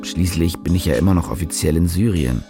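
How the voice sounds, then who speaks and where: A man's voice: sits low, fairly quick, over music, close to an everyday voice. An actor playing a world-weary male reporter, narrating his story wryly and colloquially in a radio drama. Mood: brooding. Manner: wry, colloquial. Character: cynical, world-weary fake war reporter telling his own story.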